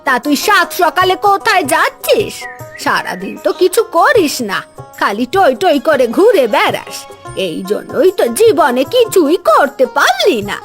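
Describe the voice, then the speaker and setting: A woman voicing a sharp-tongued old village woman who harshly taunts a lazy young man in a cartoon. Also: very high-pitched, big pitch swings, unhurried, soft background music, heavily acted. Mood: scolding.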